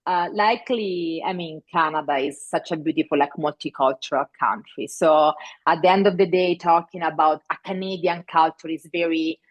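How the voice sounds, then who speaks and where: A middle-aged woman with an Italian accent speaks in a low voice. She talks casually as an interview guest, searching for words, restarting phrases and filling pauses. She grew up in Italy. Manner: hesitant, many fillers and restarts.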